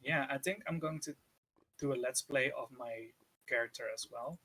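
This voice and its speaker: Chatty guy, vietnamese native, kinda husky voice, middle pitch, casual podcast talk. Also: normal speed, sharp tone, low-quality recording. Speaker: podcast co-host. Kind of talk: casual chat.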